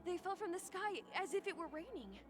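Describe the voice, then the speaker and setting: A woman's voice: very high-pitched, big pitch swings, brisk, music in the background. A woman voice actor playing an eager, naive, starstruck young fan in an anime game. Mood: eager, amazed. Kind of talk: scripted anime game dialogue.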